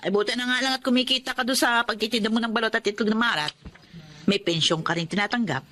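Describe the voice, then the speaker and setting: A woman's voice: fairly high pitch, fast, fairly bright tone, close to an everyday voice. A woman playing a frank, sympathetic older neighbor in a drama, exclaiming and encouraging her friend. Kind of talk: Filipino drama acting.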